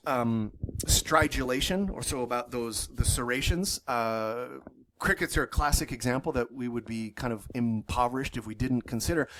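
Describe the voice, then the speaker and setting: A chatty male university lecturer. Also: mid-range voice. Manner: enthusiastic, loosely worded, frequent fillers and self-corrections.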